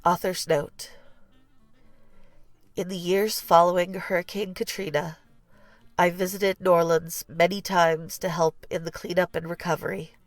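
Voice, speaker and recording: A woman reading a children's picture book aloud as scripted narration, with measured, deliberate phrasing and pauses. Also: on the low side, flat delivery, no echo, soft background music. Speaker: story reader.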